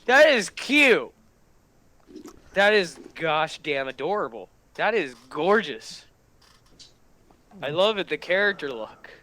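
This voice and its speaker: A young man hosting a reaction video, excitable and gushing, repeating himself in casual commentary. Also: fairly high pitch, slow pace, long pauses.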